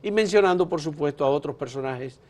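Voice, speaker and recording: A man's voice: fairly high pitch, animated, brisk, darkish tone. A man hosting a political interview, posing long, leading questions and framing remarks.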